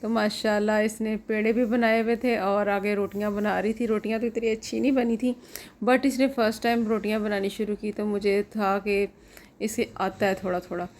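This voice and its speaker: A woman's voice: medium pitch, flat delivery, normal speed, a little mellow. A middle-aged woman from Pakistan with a sore throat, chatting to viewers in a casual home vlog from her kitchen. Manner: chatty, homely, explaining to viewers. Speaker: vlogger.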